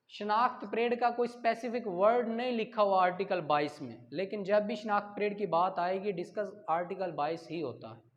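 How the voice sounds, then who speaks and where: A male teacher with a Pakistani accent and a notably high voice. He lectures in a didactic way, posing questions, answering them and repeating key terms. The recording carries a long, echoing reverb. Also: dull audio. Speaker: law teacher. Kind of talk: exam-prep lecture, reading questions and explaining.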